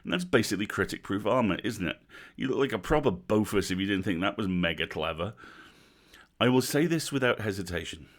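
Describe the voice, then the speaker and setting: Deep-voiced British man, wryly reading aloud. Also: animated, brisk. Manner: wry, dry humour. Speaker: host of a livestream reading series. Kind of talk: reading a written review aloud with casual asides.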